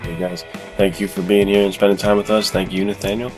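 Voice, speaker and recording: A man's voice: deep voice, level tone, fairly quick, over music. A man co-hosting a podcast, talking in a casual, conversational style. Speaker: podcast co-host.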